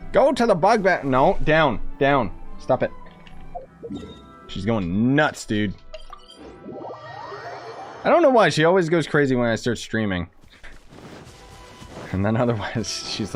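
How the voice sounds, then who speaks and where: Casting: male streamer, medium pitch, lively inflection, slangy offhand reactions, faint game music behind. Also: a little slow, pauses often. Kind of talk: casual live game-stream commentary.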